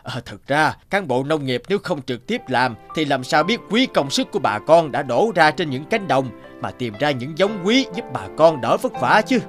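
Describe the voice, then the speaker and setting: A man's voice: fairly high pitch, very animated, normal speed, few pauses. A man voicing a humble, patient older farmer-scientist in a cartoon, with an instructive manner. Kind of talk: dubbed cartoon dialogue. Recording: music behind the voice. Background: music.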